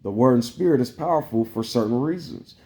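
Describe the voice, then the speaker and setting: A man's voice: medium pitch, animated, brisk, warm tone. A man delivering a meandering, preachy spiritual monologue to camera, full of "like" fillers. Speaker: vlogger. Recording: roomy echo.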